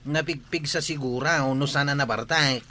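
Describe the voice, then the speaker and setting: Man playing a bright-voiced Ilocano village gossip. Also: on the high side, normal speed. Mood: gossipy. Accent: Ilocano, northern Philippines. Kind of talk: comedy radio drama acting.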